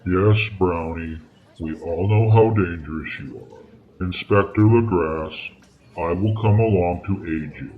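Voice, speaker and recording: A man voicing a big, strong talking bear, measured, stern and patiently exasperated, in an old-time radio drama. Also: deep voice, phone-quality sound, slight background noise. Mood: sensible, dry.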